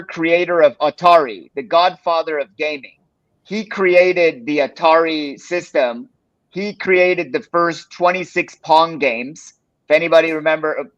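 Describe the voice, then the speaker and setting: A man from Los Angeles talks through a presentation in a loose, conversational way, dropping "uh" and "you know" as he goes. His voice sits fairly high for a man. The close, dry sound has a muffled, low-fidelity quality. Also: no room sound. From Los Angeles, United States. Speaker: presenter of a pitching workshop. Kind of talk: casual live-stream presentation.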